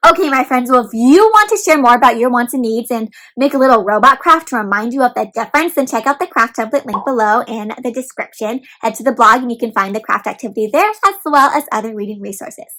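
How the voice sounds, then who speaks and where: A woman's voice: fairly high pitch, lively intonation, quick pace, hardly any pauses, a bit bright. A woman hosting an interactive picture-book read aloud for children, talking directly to them and asking encouraging questions.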